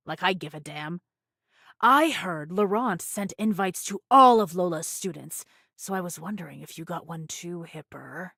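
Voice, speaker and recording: A woman's voice: mid-range voice, fairly bright tone, dry recording, studio quality. A woman voicing a vengeful, taunting female pirate in an anime video game, with a menacing, theatrical way of talking. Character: vengeful, taunting female pirate first mate, one-eyed ex-convict.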